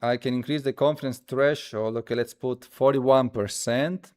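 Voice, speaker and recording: A man who speaks as a hosts a casual live coding stream, thinking aloud haltingly and repeating his phrases as he demonstrates. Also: medium pitch, fairly even intonation, darkish tone. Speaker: livestream host.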